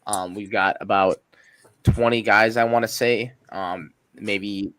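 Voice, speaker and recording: A man's voice: lowish voice, fairly even intonation, takes it slowly, fairly bright tone, no echo. A young man, a firefighter hosting a podcast, talking casually and hesitantly with plenty of ums and you-knows. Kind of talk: casual interview talk.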